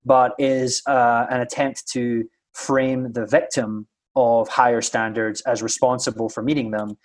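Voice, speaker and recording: A man with a softened Scottish accent gives his opinion earnestly in conversation, checking in with short tag questions. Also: medium pitch, little pitch movement, a little slow. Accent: Scottish, somewhat flattened. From Scotland.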